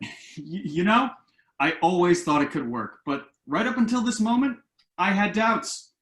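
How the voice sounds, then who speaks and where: A man acting in a play reading as an earnest, slightly flustered hopeful entrepreneur, speaking haltingly. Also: sits high, animated, a bit bright. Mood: relieved, grateful. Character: earnest adult son and hopeful entrepreneur, husband, somewhat flustered. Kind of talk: virtual stage play reading. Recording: roomy, echoing home recording.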